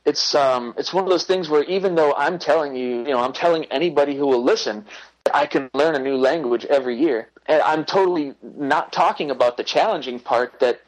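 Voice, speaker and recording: A man from the United States, talking casually as an interview guest, chatty and quick to laugh at himself, with many fillers. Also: on the high side, poor audio. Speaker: interview guest, language learner.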